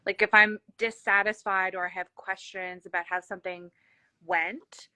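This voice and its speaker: A woman interviewer with a very bright, forward voice and a slight lisp. She chats casually and effusively, rambling and poking fun at herself. The sound is dry and close. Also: medium pitch, level tone, normal speed, no room sound. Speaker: interview host.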